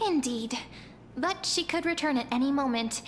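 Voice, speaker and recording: A woman's voice: sits high, moderate pace, long reverb tail, slight background noise, lightly acted. An actress playing a polite young female companion in a fantasy game, composed and lightly teasing. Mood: composed, playful. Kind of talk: scripted game voice acting.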